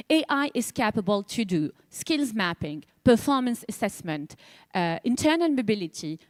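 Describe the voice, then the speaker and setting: Woman founder from Tunisia, mid-range voice, delivering an earnest, emphatic stage pitch in a dry, close recording. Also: darkish tone, no echo. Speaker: startup founder and CEO pitching.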